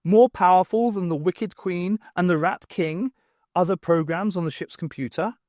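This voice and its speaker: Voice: mid-range voice, moderate pace, darkish tone, no room sound, studio quality, pitched above the performer's own voice, lightly acted. A reader voicing a flustered, sardonic woman adventurer who is sarcastic and prone to exclamations. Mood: defiant.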